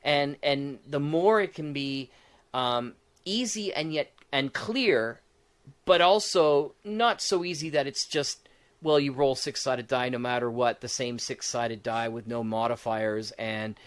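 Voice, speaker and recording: A man's voice: mid-range voice, unhurried, clean tone. A man speaking as a podcast guest in casual chat, rambling a little and illustrating his points with acted-out examples. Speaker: podcast guest, audio drama writer.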